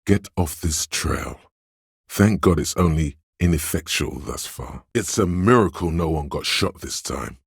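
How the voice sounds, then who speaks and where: An extremely deep, husky inner voice from a detective game. It is sly and coaxing, placing each phrase with theatrical deliberation. The sound is gravelly and very dry, close and free of room. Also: male voice, expressive, unhurried, dry recording, full character voice. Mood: cautioning, calculating. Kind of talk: scripted game voice-over narration.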